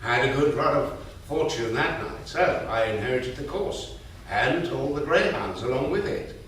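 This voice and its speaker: An actor playing an elderly, fond and wistful grandfather, telling old gambling stories with hesitant pauses in a staged reading. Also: mid-range voice, animated, fairly bright tone, lightly acted. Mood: nostalgic. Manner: unhurried storytelling with hesitant pauses and endearments. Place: theatre stage. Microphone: distant room mic. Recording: roomy echoing stage recording, distant mic, hiss.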